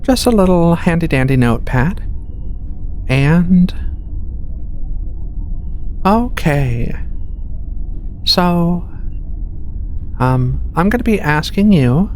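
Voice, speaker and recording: A woman voicing a patient alien feline researcher in a soft-spoken ASMR roleplay, with hesitant, reassuring questioning over a ship-like ambient rumble. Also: animated, slow, pauses often. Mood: calm, procedural. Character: female alien feline researcher, patient, clinical, gently playful. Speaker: medical examiner.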